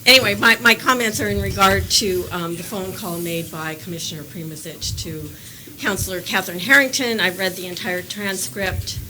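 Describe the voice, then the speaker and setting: A woman giving measured, sharply critical public comment at a county meeting, partly reading aloud. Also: medium pitch, sharp tone. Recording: noisy public meeting recording.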